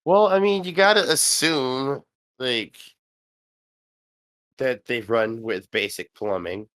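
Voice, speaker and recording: A man's voice: medium pitch, takes it slowly, fairly bright tone, no room sound. A man joking around irreverently in a casual film commentary. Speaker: commentary track participant.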